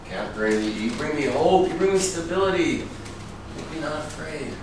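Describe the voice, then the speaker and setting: An actor in a staged reading playing an intense, needy, proud writer. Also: male voice, fairly high pitch, lively intonation, normal speed, lightly acted. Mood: pleading. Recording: echoey room from far off, noisy.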